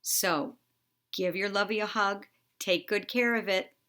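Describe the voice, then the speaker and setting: A middle-aged woman, an expressive storyteller reading aloud for young children. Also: mid-range voice, a little slow.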